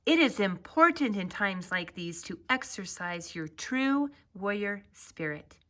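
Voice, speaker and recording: A woman voicing a kind, encouraging ostrich in a children's storybook, speaking in a gentle, earnest and reassuring way. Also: mid-range voice, animated, measured pace. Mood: gently encouraging.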